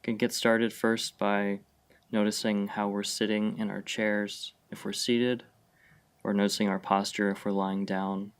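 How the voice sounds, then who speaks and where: A man leading a guided meditation, giving gentle, unhurried instructions with long pauses between phrases. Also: on the low side, level tone, smooth. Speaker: meditation guide, mindfulness fellow. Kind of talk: guided meditation, slow and spacious.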